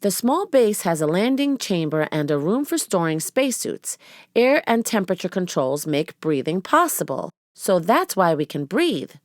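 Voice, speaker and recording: A woman voicing a cautious, studious young boy in a children's storybook, sensible and measured. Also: lowish voice, sing-song, dry recording, studio quality.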